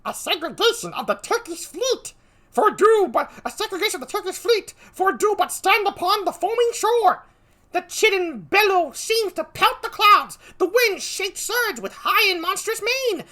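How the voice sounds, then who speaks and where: An excitable stage gentleman in a Shakespeare read-aloud, played by a woman. The voice sits extremely high and rings out brightly. Every line is declaimed and exclaimed theatrically. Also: moderate pace, heavily acted. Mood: excited, awed.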